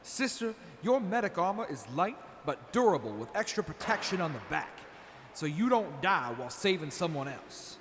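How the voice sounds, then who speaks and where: Quartermaster, male actor, showy and confident, highish lively voice, audio drama in a reverberant hall with faint noise. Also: moderate pace. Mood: proud, assured. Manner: salesman-like, flattering, assured. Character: proud, showy, confident master armorer and quartermaster. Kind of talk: post-apocalyptic audio drama acting.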